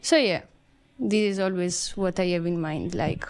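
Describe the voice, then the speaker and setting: A woman, a psychology student reflecting calmly as a podcast guest. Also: fairly low pitch, moderate pace, creaky, clean tone. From Italy. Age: 20s. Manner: calm, reflective, some fillers.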